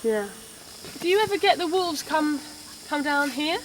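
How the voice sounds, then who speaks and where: A woman presenting a wildlife show outdoors in the cold, curious and chatty, quick to laugh. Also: high voice, lively intonation, poor audio. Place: outdoors in snowy cold country. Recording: outdoor field noise.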